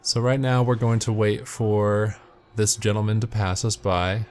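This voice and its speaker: A man's voice: on the low side, little pitch movement, unhurried, darkish tone. A man giving matter-of-fact, step-by-step instructional commentary as he narrates a video game walkthrough.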